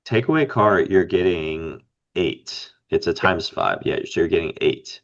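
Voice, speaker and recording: A man co-hosting a casual fantasy game podcast, slightly raspy from being under the weather, rambling and thinking aloud with lots of fillers. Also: sits low, expressive, measured pace, highs cut off. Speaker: podcast co-host.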